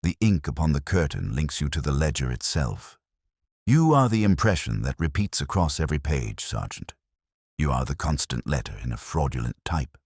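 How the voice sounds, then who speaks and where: A man's voice: extremely low pitch, very animated, normal speed, darkish tone, breathy edge, dry recording, studio quality, full character voice. A man voicing a shrewd, commanding Victorian detective in an audiobook, speaking formally and incisively. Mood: accusing, commanding. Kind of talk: dramatic audiobook character reading.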